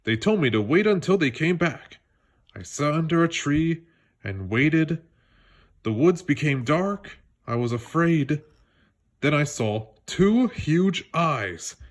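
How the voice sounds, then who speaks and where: A man's voice: fairly high pitch, very animated, slow, voice pushed up, heavily acted. A man voicing a playful frog from a storybook who spins a spooky tale in a teasing, suspenseful manner.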